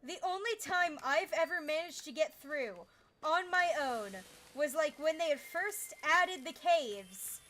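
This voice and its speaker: A woman streaming a game, chatting casually with an energetic, naturally loud manner. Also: sits high, a little slow, low-quality recording. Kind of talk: casual gaming stream chat. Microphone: desk mic, peaking.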